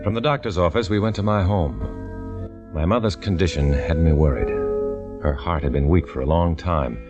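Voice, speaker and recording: An actor as a deep, hard-boiled undercover narrator. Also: male voice, moderate pace, dull audio, close to an everyday voice. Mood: tense. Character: undercover man posing as a communist, tense and wry. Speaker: narrator and lead. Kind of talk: 1950s radio drama narration. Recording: old radio broadcast with music bed.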